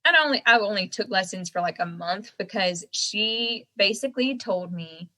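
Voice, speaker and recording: A young woman with a Southern American accent, chatting as a guest. Her voice is bright and clear, and she tells her stories with animated energy and frequent laughter. She sits at a middle pitch. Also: normal speed. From Southern United States. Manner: animated storyteller who laughs often. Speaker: guest singer-songwriter. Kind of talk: casual interview chat.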